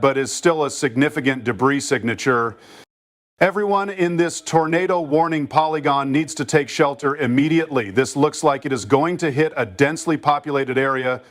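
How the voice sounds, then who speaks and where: Casting: man as concerned weather presenter, medium pitch, explanatory and casual, homemade live-coverage style. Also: natural voice. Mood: urgent concern. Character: concerned male TV meteorologist covering a dangerous tornado.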